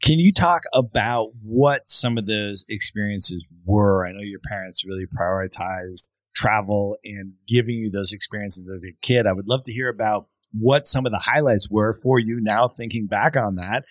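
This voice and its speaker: A man's voice: lowish voice, normal speed, few pauses, no room sound, poor audio. A man hosting a podcast, asking encouraging, open questions of his guest. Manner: warm, encouraging interviewer. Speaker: podcast host. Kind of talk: interview questions.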